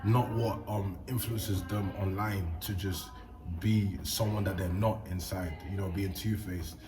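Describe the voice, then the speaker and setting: A young man, hosting a relaxed chat in slangy talk. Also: unhurried, music in the background. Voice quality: deep voice. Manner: laid-back slangy talk, animated bursts. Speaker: host. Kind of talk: casual interview chat.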